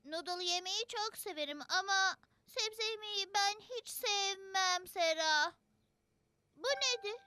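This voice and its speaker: A performer voicing a curious, excitable four-year-old boy in a children's cartoon dub, with an eager, inquisitive manner. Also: extremely high pitch, takes it slowly, full character voice.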